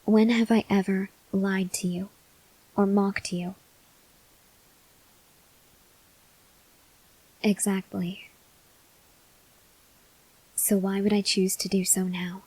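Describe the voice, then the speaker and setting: A woman playing an earnest, desperate female vampire scientist in an ASMR roleplay, speaking haltingly in a breathy voice that drifts into whispers. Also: mid-range voice, flat delivery, darkish tone, rough recording. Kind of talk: ASMR roleplay, slow dramatic monologue to the listener.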